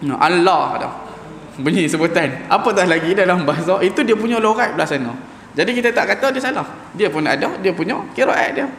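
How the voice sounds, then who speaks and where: A man leads a Quran recitation lesson, with a slightly high, gravelly voice. He talks informally and stretches out Arabic sounds slowly when he demonstrates them. His voice rings through a large mosque hall with a long, echoing reverb and a faint hum behind it. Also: fairly bright tone. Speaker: Quran teacher.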